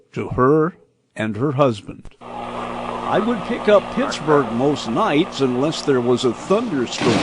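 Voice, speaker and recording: An older American man from Indiana narrating a scripted personal reminiscence as host, in a measured storyteller's way. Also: a little high, noisy background. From Indiana, United States.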